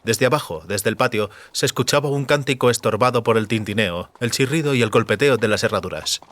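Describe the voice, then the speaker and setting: A man's voice: medium pitch, a little fast, fairly bright tone, no echo. A man reading scripted fantasy fiction as an audiobook narrator.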